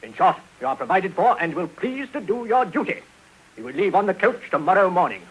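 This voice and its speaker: Cold British stepfather, pompous and brisk, with sweeping emphasis, for old radio drama. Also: sits high, sing-song, highs cut off, a little hiss or hum. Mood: stern, cold. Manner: pompous, clipped, severe. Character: cold, stern, self-important Victorian stepfather. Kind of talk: 1950s radio drama acting. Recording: old 1950s radio broadcast.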